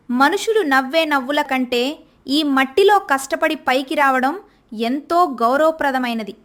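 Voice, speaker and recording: An actress playing a poor farmer's devoted wife who speaks softly and earnestly. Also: female voice, high voice.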